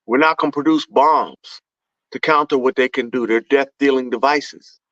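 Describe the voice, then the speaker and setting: A man's voice: medium pitch, moderate pace, fairly bright tone, no echo. Fervent man on a dull, dry line. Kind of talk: impassioned conversational talk.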